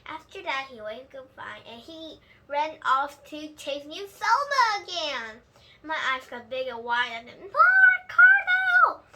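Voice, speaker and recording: A woman reading a children's book aloud in an expressive storytelling style, with a few slips. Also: high voice, unhurried. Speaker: storybook reader. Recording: clear background noise.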